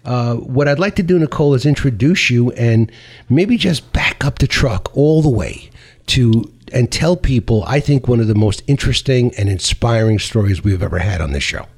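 A husky-voiced man hosts an interview show. He talks with eager enthusiasm, often restarting his sentences, at an ordinary middle pitch. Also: a little mellow. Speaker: talk show host. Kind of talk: hosted interview.

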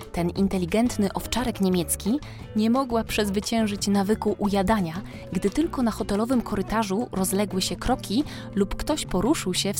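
A woman narrating a scripted children's story in a measured, expressive way. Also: medium pitch. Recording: music behind narration.

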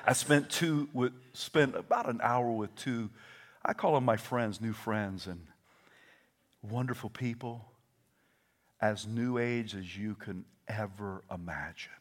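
A middle-aged man with a Southern American accent preaching a sermon, telling stories with quoted voices and building from hushed asides to shouted proclamations. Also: fairly low pitch, takes it slowly. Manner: animated storytelling with quoted voices.

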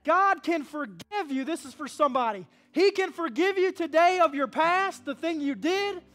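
High-voiced preaching man in his thirties. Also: normal speed, soft background music. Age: 30s. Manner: animated preaching, swinging from hushed to loud; call-and-response with the congregation. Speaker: pastor. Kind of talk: sermon.

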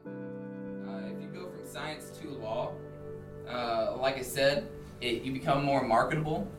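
A man's voice: medium pitch, a little slow. A man in his thirties presents a seminar talk in a roomy, echoing space. He is somewhat distant from the mic, with music faintly behind him. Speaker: seminar speaker. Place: indoor seminar room.

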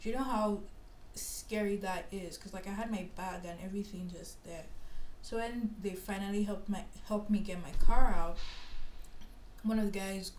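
A woman's voice: mid-range voice, normal speed. Chatty Nigerian woman in a roomy echo. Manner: chatty, animated, filler-heavy storytelling. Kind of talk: casual storytelling chat. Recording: roomy echo with faint background hiss.

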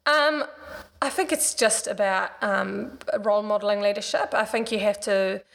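A woman's voice: medium pitch, normal speed, vocal fry, a bit bright. A middle-aged woman from Sweden with a Swedish accent, answering interview questions in a chatty, casual way full of "like" and "you know". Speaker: interview guest, business founder.

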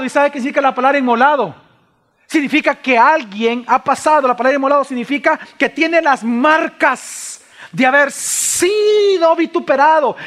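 A man preaching a sermon as a pastor, impassioned and dramatic, swinging between loud cries and hushed lines, with rhetorical questions and repeated phrases. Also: very high voice. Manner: rhetorical questions and repetition for emphasis. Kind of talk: sermon, expository Bible preaching.

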